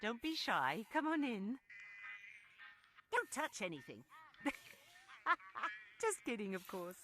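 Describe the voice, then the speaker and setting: Lively, chatty quirky woman. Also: a little high, slow pace, gravelly, over music, full character voice. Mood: playful. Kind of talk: video game character acting.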